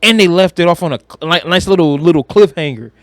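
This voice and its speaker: Male podcast co-host, slightly high voice, dry close sound, casual, opinionated, swears freely. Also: quick pace, no room sound. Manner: slangy, profane, opinionated. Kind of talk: casual chat about movies and games.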